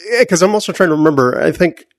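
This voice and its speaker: A man's voice: on the high side, expressive, quick pace, no room sound, clean studio sound. A man hosting a podcast in a casual, chatty, conversational interview style. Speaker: podcast host.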